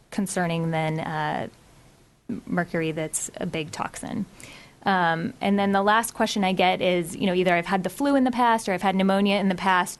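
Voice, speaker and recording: A woman physician giving an informational presentation. She explains each point in a measured way, with frequent ums and uhs. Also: lowish voice.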